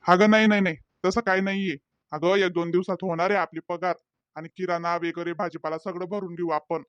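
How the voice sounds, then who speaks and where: Man voicing a teasing young cartoon husband, higher pitch. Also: level tone, a little fast, a bit bright, no room sound, dull audio. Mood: wheedling. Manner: talkative, wheedling, hesitant fillers. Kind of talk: comedy cartoon voice acting.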